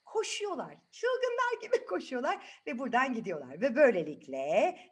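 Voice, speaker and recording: A woman's voice: sits high, normal speed. A woman telling a children's story expressively, often putting questions to her listeners and acting out what happens. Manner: expressive, asks the listeners frequent questions, acts out events.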